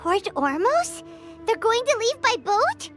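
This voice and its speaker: A tiny, excitable female fairy for a video game. The voice is childlike, squeaky and sky-high, bouncing widely in pitch. Music plays clearly behind it. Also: unhurried, heavily acted. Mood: excitable, puzzled. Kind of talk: scripted video game voice acting.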